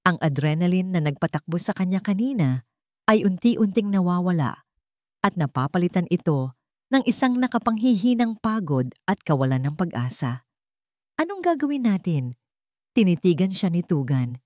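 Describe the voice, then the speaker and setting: Low-voiced Filipino woman narrating a story. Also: expressive, moderate pace, darkish tone, no echo, clean studio sound. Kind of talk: scripted dramatic story narration.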